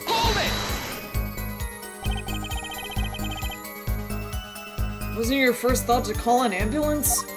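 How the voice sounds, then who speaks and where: A woman's voice: high voice, sing-song, normal speed, over music. A woman voicing an earnest young defence attorney in a video game, probing a witness. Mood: challenging.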